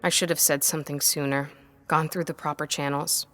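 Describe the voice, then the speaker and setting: A woman playing a defiant, earnest scholar in an audio drama, speaking in an articulate, deliberate way over music. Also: sits low, flat delivery, fairly bright tone, dry recording. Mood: subdued, regretful. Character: defiant, earnest female scholar-historian on trial. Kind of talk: scripted audio drama acting. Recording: music behind the voice.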